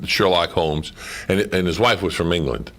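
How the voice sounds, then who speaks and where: Male talk guest, very deep register, conversational storyteller with frequent asides. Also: moderate pace, fairly bright tone. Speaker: guest, amateur radio play actor. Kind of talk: casual anecdotal studio chat.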